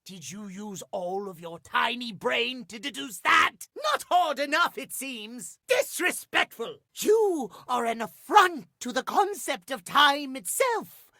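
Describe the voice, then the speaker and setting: A man's voice: very high voice, animated, takes it slowly, vocal fry, sharp tone, dry recording, clean studio sound, exaggerated voice. An actor voicing a fussy, prim male clock obsessed with schedules, speaking formally and precisely in a theatrical, old-fashioned way for a video game. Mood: furious, disdainful. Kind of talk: video game character acting.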